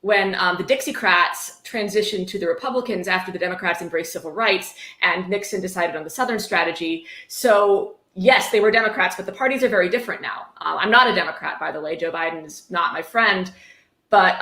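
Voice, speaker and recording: A woman's voice: lowish voice, quick pace, pushed and bright. A woman hosting a mocking livestream book reading, sarcastic, irreverent and profane, breaking off into casual commentary. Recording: echoey room.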